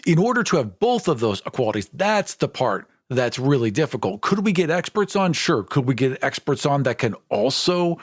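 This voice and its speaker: A man's voice: mid-range voice, big pitch swings, moderate pace, hardly any pauses, no echo. A man chatting casually as a podcast co-host, giving emphatic, appreciative commentary.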